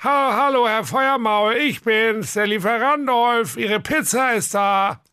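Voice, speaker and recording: A man voicing a nervous young prince who poses as a delivery man, stammering with overly bright fake cheer. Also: extremely high pitch, takes it slowly, clean tone, no room sound, studio quality, voice pushed up, heavily acted. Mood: fake cheerful.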